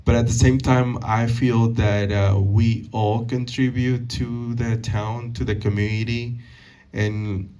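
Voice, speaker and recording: A man in his thirties from Peru, answering audience questions at a community talk, halting and full of fillers and restarts. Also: medium pitch, level tone, mellow, distant mic, rough recording. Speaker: guest speaker. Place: meeting hall.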